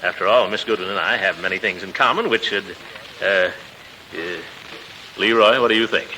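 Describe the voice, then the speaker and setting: A man's voice: on the low side, normal speed, bright, forceful tone. An actor playing a pompous, hesitant middle-aged uncle in a 1940s radio comedy, hemming and hawing as he talks. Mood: flustered, hearty. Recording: faintly noisy old 1940s radio recording.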